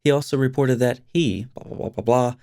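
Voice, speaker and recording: A man's voice: mid-range voice, a little fast, gravelly, a little mellow, no room sound, clean studio sound. A man hosting a scripted commentary video, speaking in a sarcastic, mocking way.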